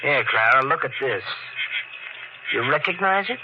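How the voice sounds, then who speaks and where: An actor playing a jittery, fearful husband in a 1940s radio thriller, speaking in an insistent, nervous, stammering way. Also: male voice, mid-range voice, expressive, moderate pace, natural voice. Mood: uneasy. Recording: muffled, noisy 1940s radio recording.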